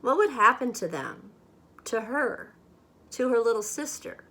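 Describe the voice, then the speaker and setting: A woman reading a children's picture book aloud expressively, with measured, dramatic phrasing meant for young listeners. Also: fairly high pitch, lively intonation, fairly bright tone. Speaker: storybook reader.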